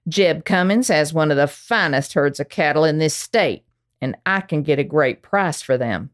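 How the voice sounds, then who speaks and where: A woman playing a commanding outlaw gang boss, animated delivery. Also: deep voice, normal speed. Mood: commanding, scheming. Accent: American. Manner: commanding, folksy. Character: bossy female outlaw gang leader of the Old West. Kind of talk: radio western acting.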